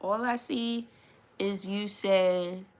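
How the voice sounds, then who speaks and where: A woman, hosting a casual live stream from home, chatty and quick to laugh, often restarting her sentences as she answers viewer comments. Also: mid-range voice, fairly even intonation. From New York City, USA. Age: 30s. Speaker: livestream host.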